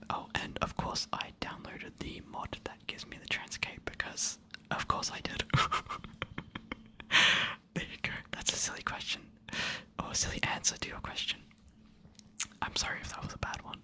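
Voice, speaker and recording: An ASMR creator whispering answers to subscriber questions in a soft, hesitant, apologetic way.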